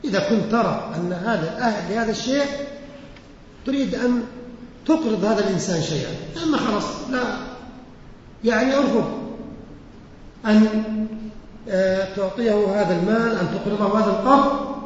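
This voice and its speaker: Man, religious teacher, slow repeated verse recitation, high voice, heavy hall echo, faint hiss. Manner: explains words with questions to listeners. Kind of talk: lesson explaining poetry and sayings. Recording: echoey hall, muffled lo-fi recording.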